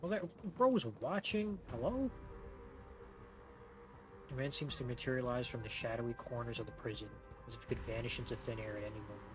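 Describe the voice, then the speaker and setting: A man's voice: medium pitch, a little fast. A man streaming a video game, giving slangy, joking commentary and reading text aloud, with game music behind him. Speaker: game streamer reacting to story quest. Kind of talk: casual gaming commentary.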